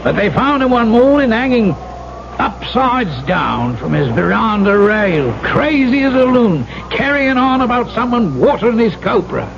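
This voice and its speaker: A superstitious old sea captain for a radio play. He talks on in a folksy, emphatic way, his voice high and full of gravel. The old broadcast recording is very noisy. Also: big pitch swings, a little slow, lo-fi sound, full character voice. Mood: ominous storytelling. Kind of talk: 1970s radio drama acting.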